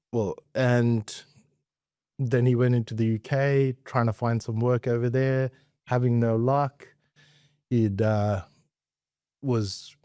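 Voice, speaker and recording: A man's voice: medium pitch, darkish tone, no echo, studio quality. A middle-aged man with an Australian accent, telling his story casually as an interview guest. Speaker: interview guest, investment professional.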